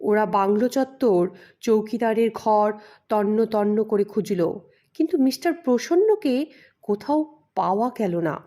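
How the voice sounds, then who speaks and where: A woman's voice: mid-range voice, measured pace, warm tone. A woman narrating a scripted mystery story as an audio storyteller.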